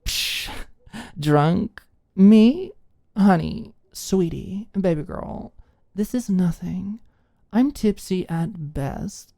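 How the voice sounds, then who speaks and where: A woman's voice: lowish voice, animated, very slow, vocal fry, warm tone, no room sound, clean studio sound, voice pushed up, exaggerated voice. Woman acting a tipsy, cocky, drawling young woman. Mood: tipsy, mocking. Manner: drawling, sarcastic, teasing with pet names.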